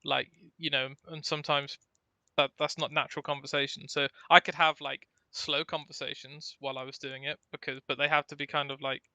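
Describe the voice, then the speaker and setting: A man's voice: a little high, level tone, a little fast, pushed and bright, dry recording. A man co-hosting a podcast in casual chat, talking in an informal, rambling, anecdotal way. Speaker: podcast co-host.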